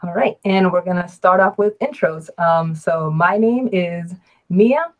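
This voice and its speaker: A woman in her early thirties hosts a casual live chat. Her voice sits a little low, and her manner is relaxed and unhurried, with frequent ums between thoughts. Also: lo-fi sound. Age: 30s. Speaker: host and adult advisor.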